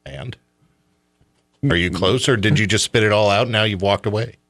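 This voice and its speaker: A man's voice: fairly low pitch, moderate pace, a bit bright, no room sound. A man hosting a radio show, chatting casually in a joking, teasing way. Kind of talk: casual chat.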